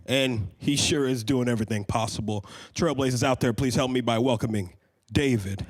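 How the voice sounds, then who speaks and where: A man's voice: mid-range voice, normal speed. A man hosting a podcast in a casual, slangy style, speaking informally to listeners and guest in a studio. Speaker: podcast host.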